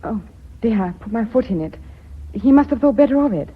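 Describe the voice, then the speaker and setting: An actress playing a concerned, deferential secretary in British radio drama, polite and apologetic. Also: female voice, on the high side, lively intonation, fairly quick, husky. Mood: anxious, polite. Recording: tinny, band-limited radio drama recording.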